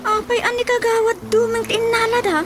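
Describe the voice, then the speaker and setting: An actress playing a gossipy, excitable village woman in an Ilocano radio drama. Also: extremely high pitch, brisk, very noisy. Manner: gossipy, exclaiming. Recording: radio drama with music behind.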